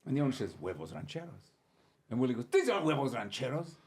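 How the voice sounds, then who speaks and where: A man's voice: medium pitch, very animated, fast talker, darkish tone, husky. An older man, a comedian guest on a podcast, telling anecdotes in a dry, matter-of-fact way and acting out the people in his stories. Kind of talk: casual podcast storytelling.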